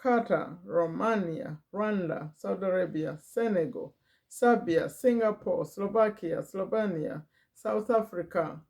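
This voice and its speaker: A woman's voice: fairly low pitch, measured pace, creaky, darkish tone. A middle-aged woman with a foreign accent, a business owner talking casually to camera, repeating phrases and reading a list aloud. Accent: non-native English. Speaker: business owner and channel host. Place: Virginia, USA.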